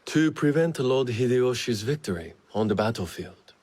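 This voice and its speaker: An actor playing a resolute, measured samurai lord in a video game, speaking formally and gravely. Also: male voice, mid-range voice, animated, a little mellow. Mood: grave, accusing. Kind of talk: dramatic video game acting.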